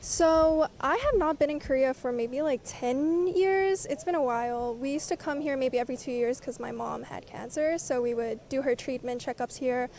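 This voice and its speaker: A young woman from South Africa, chatting fast in a casual outdoor interview and laughing often. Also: sits high, a little mellow.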